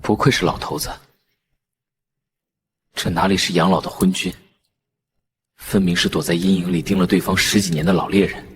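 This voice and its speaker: Man playing a low-voiced, coolly analytical prince. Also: steady tone, normal speed, pauses often, a little hiss or hum, lightly acted. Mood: wry. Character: young prince, cool analytical strategist. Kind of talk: dramatic animated-series acting.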